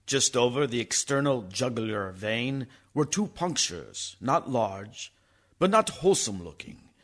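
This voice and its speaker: Man acting an earnest doctor, slow and expressive. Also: mid-range voice. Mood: grave narration. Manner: formal, deliberate. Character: earnest young doctor narrating his fiancée's decline. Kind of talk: dramatic radio play narration.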